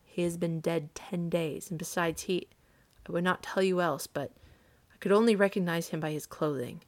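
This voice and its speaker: A woman's voice: on the low side, fairly even intonation, normal speed, creaky, husky, no echo. A woman voicing a fearful, weary old husband who speaks unsteadily and falters in a story reading. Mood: shaken.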